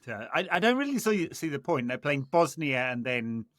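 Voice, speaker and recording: A man's voice: fairly high pitch, big pitch swings, normal speed, a bit bright, no room sound. A man hosting a casual football podcast, chatting with dry, sardonic banter. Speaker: podcast host.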